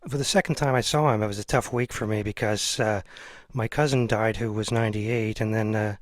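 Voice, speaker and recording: Fast-talking man, slightly low voice. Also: steady tone, no echo. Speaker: interview show host. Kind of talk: casual conversation.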